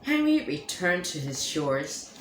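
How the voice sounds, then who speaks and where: A woman reading a children's picture book aloud in an expressive, animated way. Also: low voice, takes it slowly, reverberant, slight background noise. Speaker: storybook reader.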